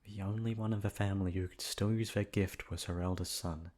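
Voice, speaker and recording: Low-voiced man narrating a bedtime story. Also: steady tone, brisk, a little mellow. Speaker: voice actor reading a bedtime story. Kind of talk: soft storybook narration, ASMR sleep aid.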